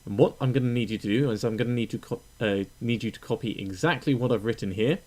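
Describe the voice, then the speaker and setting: A man narrating a step-by-step software tutorial in an informal, instructional way, with frequent hesitations. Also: mid-range voice, quick pace. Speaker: tutorial presenter.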